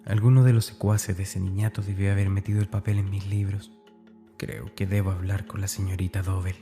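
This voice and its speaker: A man's voice: low-pitched, little pitch movement, brisk, over music. An actor playing a tormented, fearful schoolboy, narrating his diary in a confiding, anguished, dramatic way. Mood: uneasy, confiding.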